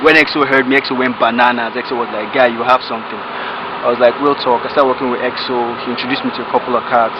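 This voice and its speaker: A young man with a Nigerian accent speaks in an easygoing, rambling way, full of slang. He is recorded outdoors in a residential yard on a camera held close. The sound is muffled, and traffic and street noise carry clearly behind him. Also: mid-range voice, level tone, fast. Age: young adult. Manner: laid-back, rambling, slangy. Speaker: rapper guest. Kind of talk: casual interview. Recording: dull audio with outdoor noise.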